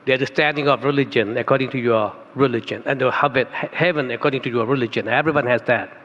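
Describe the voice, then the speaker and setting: A man's voice: mid-range voice, fairly bright tone. A man from Thailand with a Thai accent, speaking English as a second language and giving an earnest conference keynote speech that builds to emphatic stress. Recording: reverberant hall, dull lo-fi sound.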